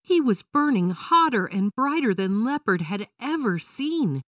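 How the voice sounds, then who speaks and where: Female children's storyteller: scripted narration, animated intonation, dry sound, a little distant from the mic. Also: a little high, unhurried, dry recording.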